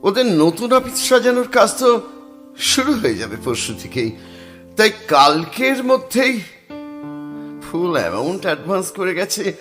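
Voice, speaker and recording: Middle-aged painter, slightly high voice, lively intonation, reflective narrator. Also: male voice, unhurried, long pauses, soft background music, exaggerated voice. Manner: musing, self-talking, mixes English phrases. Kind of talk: radio drama acting and first-person narration.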